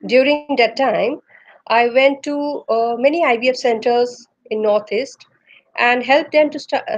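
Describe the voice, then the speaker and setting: A woman with an Indian English accent speaks over a webcam video call, her pitch sitting a little high. She answers steadily and pauses often on "uh" as she gathers her thoughts. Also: lo-fi sound. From Northeast India. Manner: measured, with frequent "uh" pauses. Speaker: embryologist guest.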